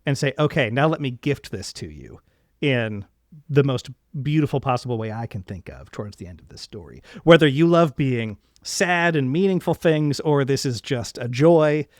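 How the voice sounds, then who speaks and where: A man's voice: medium pitch, expressive, moderate pace, dry recording, studio quality. A man hosting a casual interview podcast, talking in an earnest, effusive and wordy way. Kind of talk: casual, reflective interview conversation.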